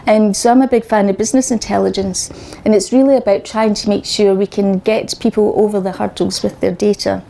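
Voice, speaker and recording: A woman's voice: mid-range voice, steady tone, brisk, vocal fry, mellow, a little hiss or hum. Wry Scottish woman giving a tech talk. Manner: conversational, wry and self-deprecating. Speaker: conference speaker. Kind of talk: technical talk to a meetup audience. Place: meetup venue with a live audience. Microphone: handheld microphone.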